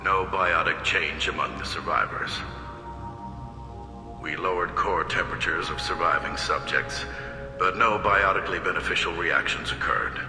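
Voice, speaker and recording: A man's voice: deep voice, normal speed, lightly acted. An actor playing a detached male scientist dictating experiment logs in a clinical manner. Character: cold male scientist recording research logs. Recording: reverberant log playback with music.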